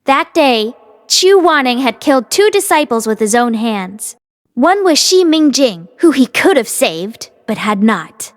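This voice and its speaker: High-voiced woman narrating a fantasy novel. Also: big pitch swings, unhurried, no room sound, studio quality. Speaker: audiobook reader.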